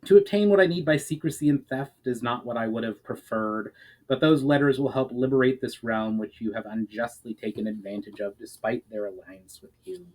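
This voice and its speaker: A man's voice: mid-range voice, a little fast, a little mellow, natural voice, rough recording. A man voicing a resolute elf adventurer with formal, determined speech in a fantasy story reading. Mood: firm, formal.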